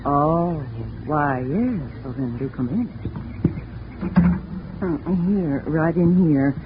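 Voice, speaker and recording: A woman acting a prim, fussy older housekeeper in a radio drama, speaking hesitantly in halting bits. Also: deep voice. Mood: flustered. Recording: tinny old radio broadcast with hiss.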